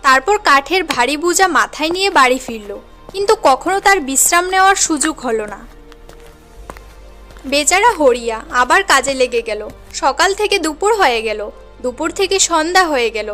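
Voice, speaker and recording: Casting: female cartoon story narrator, very high voice, dramatic scripted delivery. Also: a bit bright.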